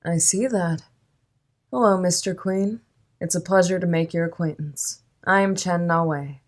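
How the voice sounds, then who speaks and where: Woman acting a low-voiced, silky female crime boss. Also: lightly acted. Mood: smooth, courteous. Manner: polite, measured, coolly amused formal speech. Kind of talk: audio drama acting.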